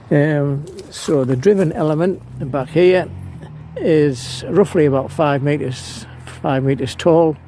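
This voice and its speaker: Halting, creaky-voiced New man. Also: fairly high pitch, darkish tone. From New Zealand. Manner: halting, hesitant, pausing mid-sentence. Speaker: amateur radio operator, presenter. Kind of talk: casual unscripted show-and-tell. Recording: noisy amateur home video.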